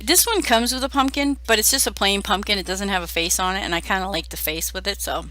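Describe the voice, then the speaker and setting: A middle-aged woman, hoarse from a cold, chatting casually through a card-making voice-over with many fillers. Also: medium pitch, fast, sharp tone, dry recording.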